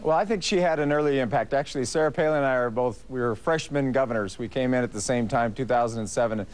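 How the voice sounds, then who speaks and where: A middle-aged man with a smooth, resonant, mid-range voice speaks from a remote studio. He talks calmly and measuredly. It sounds like a TV interview feed. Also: mid-range voice, fast talker. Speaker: governor, remote guest. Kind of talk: political commentary.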